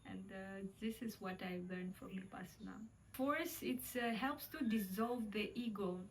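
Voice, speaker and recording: A woman's voice: mid-range voice, measured pace, distant mic, a little hiss or hum. A young Kazakh woman with a Kazakh accent, talking casually and reflectively, hesitating often with fillers. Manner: hesitant, many fillers, light laughs. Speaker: vlogger.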